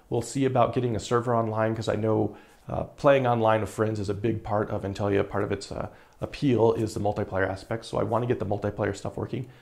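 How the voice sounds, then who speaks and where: A man in his thirties, an indie game developer giving a casual, relaxed update on his project while thinking aloud with fillers, recorded in a studio room on a lapel mic. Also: medium pitch, gravelly. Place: indoor studio room with monitors.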